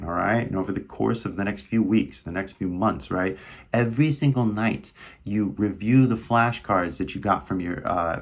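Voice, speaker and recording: A man's voice: lowish voice, creaky. A man teaching English in a casual video lesson, coaching with repetitive questions like "right?".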